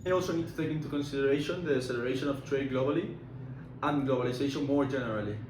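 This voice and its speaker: A young man playing an eager economist who chimes in quickly, recorded from far off in an echoey, noisy room. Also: mid-range voice, lightly acted.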